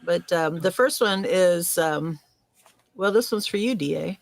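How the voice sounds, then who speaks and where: A woman's voice: fairly low pitch, measured pace, creaky, dry recording. A woman co-hosting a casual live podcast, teasing and joking at her own expense while steering questions to guests.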